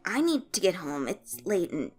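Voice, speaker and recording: A woman voicing a tiny, timid but eager boy sidekick with an earnest, excitable manner. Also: on the low side, normal speed, faint music behind. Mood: shy.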